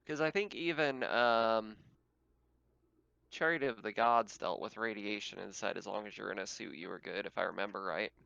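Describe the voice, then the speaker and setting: A man's voice: on the low side, normal speed, creaky, sharp tone, clear, resonant tone, dry recording, lo-fi sound. A man casually talking through rules as the game master of a tabletop roleplaying session.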